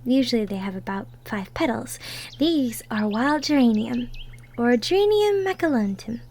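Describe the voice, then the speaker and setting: A woman playing a gentle, cheerful young cottagecore woman from the woods in an intimate roleplay audio, soft-spoken, giggly and sweet. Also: fairly high pitch, expressive, normal speed. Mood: chatty, enthusiastic. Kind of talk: roleplay audio drama, intimate one-sided conversation.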